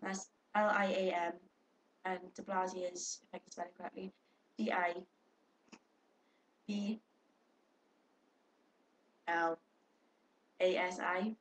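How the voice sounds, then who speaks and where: A woman's voice: on the low side, little pitch movement, slow pace, long pauses, slight background noise, poor audio. A young woman chatting casually to her webcam, swearing freely and talking to viewers. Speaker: vlogger.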